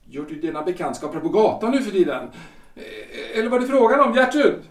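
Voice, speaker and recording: Jealous husband, 40s, period audio drama, male actor: higher-set voice, imploring and halting, recorded off-mic in a roomy space. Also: expressive, gravelly. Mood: pleading, agitated. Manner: halting, repeating himself, imploring. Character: anguished jealous husband, 43-year-old lawyer and politician, pleading. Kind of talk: period stage-play audio drama acting. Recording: roomy, echoing, distant from microphone.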